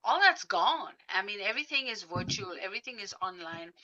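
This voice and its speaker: A woman hosting a casual interview, speaking conversationally and a bit haltingly, repeating words as she goes. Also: medium pitch, animated, bright, forceful tone, lo-fi sound. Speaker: interview host.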